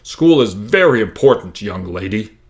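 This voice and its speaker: A man's voice: lowish voice, animated, normal speed, lightly acted. A man voicing a carefree, cocky schoolboy joker in a book reading, with a breezy, teasing manner. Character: joking, carefree, cocky schoolboy class president.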